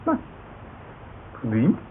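An actor playing a vain, touchy, boastful young man who blusters defensively in a Dutch radio drama. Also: extremely slow. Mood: evasive. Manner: defensive, blustering, stumbling over his words. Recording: tinny band-limited radio recording, faint hiss.

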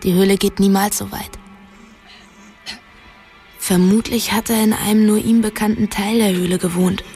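A woman's voice: mid-range voice, fairly even intonation, moderate pace, warm tone, faint music behind, lightly acted. An actress playing a headstrong, secretive young woman in a German radio drama, telling things bluntly and conspiratorially. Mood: conspiratorial storytelling. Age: young adult.

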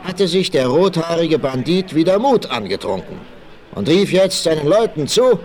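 A man's voice: fairly high pitch, sing-song, moderate pace, darkish tone. A man narrating a 1960s radio play as a dramatic, theatrical, suspenseful storyteller. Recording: vinyl record transfer with faint noise.

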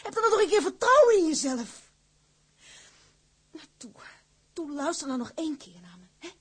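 An actress playing a strong-willed, shrewd woman in a radio drama, urgent and persuasive, with a breathy, husky voice. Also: extremely high pitch, animated, moderate pace. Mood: pleading.

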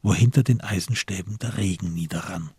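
A man's voice: deep voice, a little fast, darkish tone, breathy edge, no room sound, studio quality. A man narrating a radio crime drama in scripted, literary prose.

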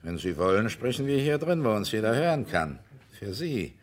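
A persistent, stern investigator in a radio crime play. His voice sits low, and he speaks formally and deliberately, always insisting. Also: big pitch swings. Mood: stern, pressing. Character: stern, persistent male investigator pressing a witness. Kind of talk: German radio crime drama acting.

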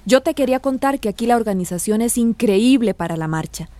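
An actress playing a warm, earnest, chatty woman in a radio drama. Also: fairly high pitch, expressive, a little fast, close to an everyday voice. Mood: concerned.

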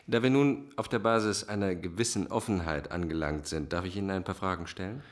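Radio crime drama, male actor as smooth manipulative psychiatrist, low-pitched, polished diction, cold control. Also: fairly quick, lightly acted. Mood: smoothly polite. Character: suave, manipulative, menacing male psychiatrist villain.

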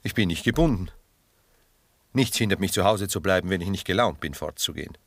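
An actor playing a reflective, tender, melancholy older lover in a radio drama, speaking in a measured literary way. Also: male voice, deep voice, fairly bright tone, dry recording. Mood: earnest, restrained. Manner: measured, literary, musing delivery. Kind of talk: 1960s German radio drama acting.

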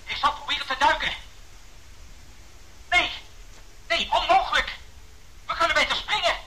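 An actor playing an aircraft skipper in danger in a Dutch radio drama, speaking urgently. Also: male voice, very high-pitched, normal speed, sharp tone, full character voice, rough recording. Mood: alarmed. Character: panicked male aircraft skipper in mortal danger.

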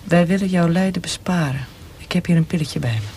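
An actor playing a cold, insistent military interrogator in a radio play, with a hushed, breathy, measured voice. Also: male voice, on the high side, slight background noise, lightly acted. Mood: persuasive. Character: cold, pressuring military interrogator offering a mercy death.

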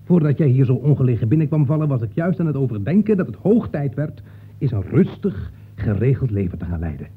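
A tinny, narrow-band old radio recording carries a very raspy, irritable novelist. His pitch moves in lively swings. He sounds curt, rattled and weary of his visitor. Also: fairly quick. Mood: firm, exasperated. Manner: curt, hesitant, exasperated. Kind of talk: Dutch radio play acting.

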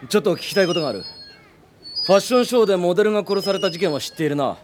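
An actor playing a brusque, hot-tempered police detective in a Japanese radio drama, with rough, blunt speech. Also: male voice, sits high, animated, rapid-fire, background noise. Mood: stern interrogation. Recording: music behind the voice.

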